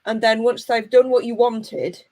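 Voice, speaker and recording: A woman's voice: fairly high pitch, unhurried, a little mellow. A woman hosting a live online interview, speaking passionately in emphatic rhetorical questions. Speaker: host. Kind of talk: live video interview, casual conversation.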